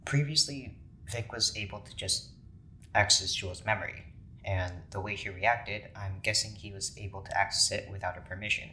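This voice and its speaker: An actor playing a stern, analytical scientist in charge, speaking in a measured clinical way in an audio drama. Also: male voice, bright, forceful tone, low-quality recording. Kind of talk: naturalistic audio drama acting. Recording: clear background noise with rumble.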